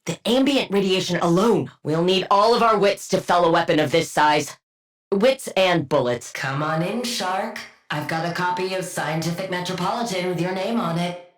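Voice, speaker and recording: A woman's voice: lowish voice, normal speed, natural voice. A woman voicing a brilliant, haughty scientist supervillain for a video game, speaking with clinical precision and dry sarcasm. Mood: dry, disdainful. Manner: clinical, precise, dryly sardonic. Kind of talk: video game combat voice lines.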